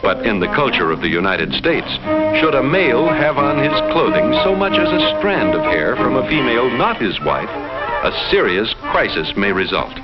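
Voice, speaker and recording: Muffled vintage film audio with loud music carries the report of a detached alien explorer, acted by a man. He speaks formally and clinically, like a 1950s educational narrator, with very animated intonation. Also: medium pitch, lightly acted. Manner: formal, measured, clinical phrasing.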